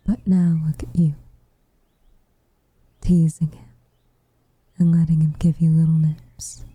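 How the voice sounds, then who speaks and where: A woman playing a reserved, tenderly affectionate witch in a whispered ASMR roleplay, with soft breathy delivery and measured, formal phrasing. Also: low voice, little pitch movement, no room sound, heavily acted. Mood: tender, shy.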